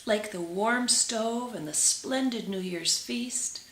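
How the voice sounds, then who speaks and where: A woman's voice: on the high side, slow pace, a bit bright. A woman voicing a poor, wistful little girl in a storybook, crying out and pleading. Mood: desperate pleading. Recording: roomy, echoing sound.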